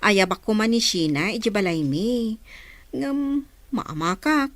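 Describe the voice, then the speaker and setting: A woman's voice: medium pitch, expressive, a little slow, fairly bright tone, no echo. A woman playing an inquisitive, sympathetic aunt in a radio drama, with an Ilocano accent.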